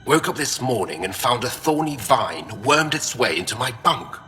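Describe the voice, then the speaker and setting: Grumpy, sharp-voiced alien historian. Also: male voice, medium pitch, very animated, normal speed, a little hiss or hum. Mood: peevish complaining. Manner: testy, curt, sardonic. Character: fussy, grumpy male alien historian, stranded and irritable. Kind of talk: video game dialogue acting.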